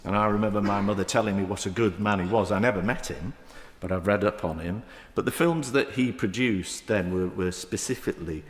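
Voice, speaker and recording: A man in his fifties with a Manchester accent, giving a halting parliamentary debate speech with many fillers and restarts. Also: low-pitched. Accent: Mancunian. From England. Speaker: member of the House of Lords. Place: large echoing debating chamber. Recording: roomy chamber with long reverb.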